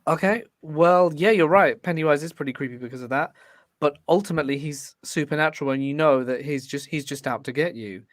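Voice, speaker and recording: A man guest in a casual podcast debate, laying out his argument in a measured, deliberate way. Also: on the high side, no echo, clean studio sound.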